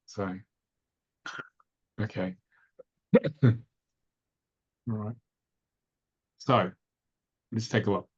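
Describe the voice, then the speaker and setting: Low husky guy running an online class, chatty and expressive, muffled call audio. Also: very slow, long pauses. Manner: expressive, talkative, anecdotal. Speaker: course instructor. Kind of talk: casual teaching chat on a video call.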